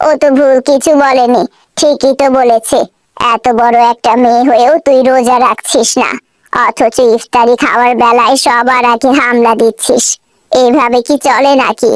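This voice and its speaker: A woman's voice: on the high side, steady tone, normal speed, no room sound, voice pushed down, close to an everyday voice. A woman voicing a stern, exasperated mother with a nagging, reproachful manner in a children's moral cartoon. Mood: scolding.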